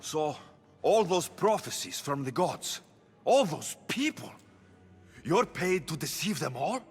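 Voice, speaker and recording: An actor playing a determined young Spartan mercenary in a video game, blunt and questioning. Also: male voice, a little high, big pitch swings, slow, husky, full character voice. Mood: accusing. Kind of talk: dramatic video game acting.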